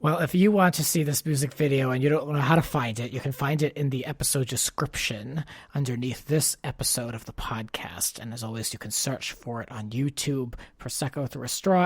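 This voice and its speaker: A man's voice: medium pitch, a little fast, few pauses, slightly rough, no room sound. A man hosting a podcast in a casual, informal and encouraging way. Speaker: podcast host.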